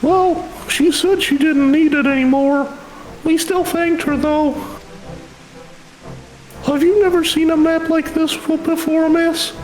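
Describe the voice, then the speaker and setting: A man voicing a small, chatty child in a video game, eager and excitable, with a squeaky voice. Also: slow pace, reverberant, soft background music, low-quality recording. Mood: eager, curious.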